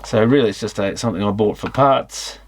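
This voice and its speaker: A man's voice: lowish voice, fairly quick, a little hiss or hum. A man giving casual, unscripted teardown commentary on electronics, musing and thinking aloud as he examines things. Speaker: electronics repair hobbyist presenting a teardown.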